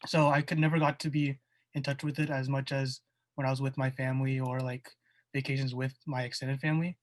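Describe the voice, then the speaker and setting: A young male college student speaking in a soft-spoken, even way on a casual podcast. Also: mid-range voice, a little fast. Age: young adult. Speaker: college student, club officer.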